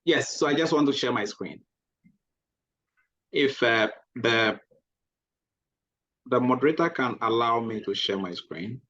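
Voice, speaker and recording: A man from Ghana, presenting for his group over a video call, polite and halting with many fillers. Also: medium pitch, expressive, fairly bright tone, no echo, highs cut off. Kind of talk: online workshop presentation.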